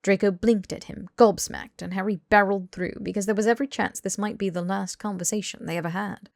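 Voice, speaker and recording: A woman reading fiction as an audiobook narrator in an expressive, scripted storytelling style. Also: mid-range voice, fairly quick, creaky, no room sound, studio quality.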